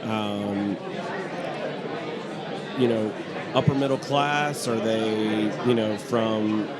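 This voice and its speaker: A man hosting a casual interview, talking in a halting way with many fillers and restarts. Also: on the low side, fairly even intonation. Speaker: podcast host. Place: busy bar at a convention. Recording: loud crowd chatter and music behind.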